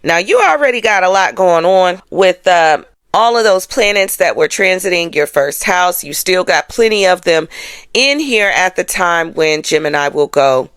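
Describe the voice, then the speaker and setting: An American woman hosts an astrology show in a fairly low voice that swings expressively up and down. She talks straight to her viewers in slangy, emphatic, colloquial phrases. The sound is dry and close. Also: animated, normal speed, a bit bright, clear, resonant tone, dry recording. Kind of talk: casual astrology commentary to viewers.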